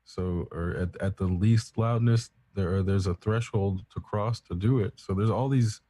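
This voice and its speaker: A man's voice: deep voice, a little slow, warm tone. A man in casual chat, explaining at length in a rambling, profane, self-assured way. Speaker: podcast co-host.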